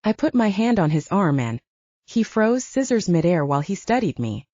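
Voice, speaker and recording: Mellow woman narrator with animated pitch. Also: normal speed, no echo, highs cut off. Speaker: audiobook narrator. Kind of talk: first-person scripted narration with acted dialogue.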